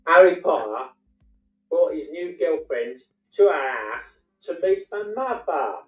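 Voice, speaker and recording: A man slowly saying sample phrases in a drawn-out, sing-song way. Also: fairly high pitch, expressive, faint music behind. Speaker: accent demonstrator in a played clip. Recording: roomy, distant, dull playback.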